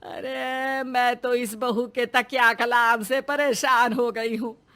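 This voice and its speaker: A woman voicing an impatient older mother-in-law in a cartoon story, scolding and grumbling in drawn-out phrases. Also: fairly high pitch, steady tone, fairly bright tone. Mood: scolding, fed up.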